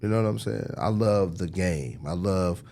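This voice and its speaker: Low-voiced American man, slangy and casual. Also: normal speed, creaky, mellow, a little hiss or hum. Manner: frequent slang and conversational tags like "you know" and "man". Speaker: record executive being interviewed. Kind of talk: casual interview conversation.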